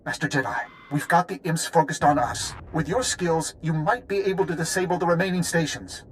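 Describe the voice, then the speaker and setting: A man's voice: a little high, brisk, a little hiss or hum, lightly acted, low-quality recording. A man playing an embattled, loyal squad leader in a video game, speaking in an emphatic military manner. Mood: resolute. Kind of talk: cinematic video game voice acting. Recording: music behind the voice.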